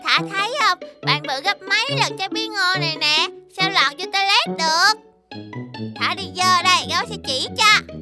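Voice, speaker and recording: A performer voicing a helpful, sensible young bear cub in a children's toy cartoon, childlike and instructive. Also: moderate pace. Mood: reassuring. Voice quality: childlike, high. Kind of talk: children's toy animation dialogue. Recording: music under the voice.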